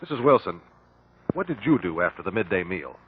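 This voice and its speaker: Measured, courteous male sleuth, animated inflection, precise, tinny 1950s radio recording. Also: deep voice. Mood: calm. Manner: measured, polite, precise questioning. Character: calm, courteous, probing male investigator. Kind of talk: 1950s radio drama acting. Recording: narrow-band, faintly noisy old radio recording.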